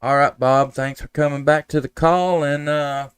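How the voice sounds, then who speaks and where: Guy doing ham radio livestream, real smooth clear voice, dry close sound, folksy and easygoing. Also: on the high side, steady tone, normal speed, no room sound. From United States, Tennessee. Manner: folksy, easygoing, with radio call-sign phrasing. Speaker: amateur radio operator and live streamer. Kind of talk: casual ham radio chat on a live stream.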